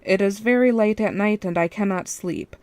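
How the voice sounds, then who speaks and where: A woman's voice: mid-range voice, normal speed, darkish tone, dry recording. A woman reading a first-person fan fiction story as its narrator.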